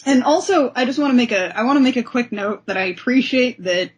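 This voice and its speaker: A woman's voice: a little high, expressive, fairly quick. Enthusiastic woman chatting as podcast host. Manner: enthusiastic, effusive. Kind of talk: casual comic discussion.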